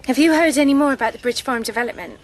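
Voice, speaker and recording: A woman's voice: sits high, rapid-fire, a little hiss or hum, close to an everyday voice, rough recording. An actress playing an aggrieved working-class young mother in a radio drama, earnest and pressing. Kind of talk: naturalistic radio drama acting.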